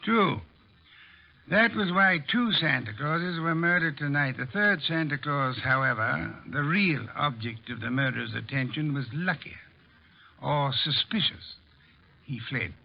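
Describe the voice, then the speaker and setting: Pompous, creaky-voiced master detective. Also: male voice, on the high side, measured pace. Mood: pompous, expounding. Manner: pompous, formal, elaborate wording. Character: brilliant, imperious, pompous male private detective. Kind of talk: old-time radio drama acting. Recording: dull vintage radio recording.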